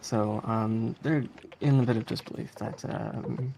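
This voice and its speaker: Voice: mid-range voice, steady tone, measured pace, gravelly, dark tone, a little hiss or hum. A speedrunner giving casual live commentary on a game, chatty and giggly, with some hesitation while explaining. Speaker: speedrunner presenting a game run. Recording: game music behind the voice. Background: game music.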